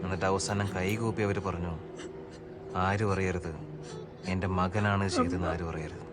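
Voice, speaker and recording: Actor as a stern, probing police officer. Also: male voice, low-pitched, steady tone, normal speed, close to an everyday voice. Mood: grave, reproachful. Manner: authoritative, insistent, repeats questions. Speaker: actor in a film. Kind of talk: film drama dialogue. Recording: film audio with loud music.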